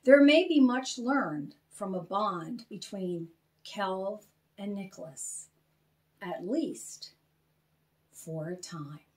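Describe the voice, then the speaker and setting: A woman's voice: mid-range voice, animated, slow pace, far from the mic. A woman voicing a stern, dignified elder alien leader from a children's storybook, speaking formally and weighing each word. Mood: intrigued, measured.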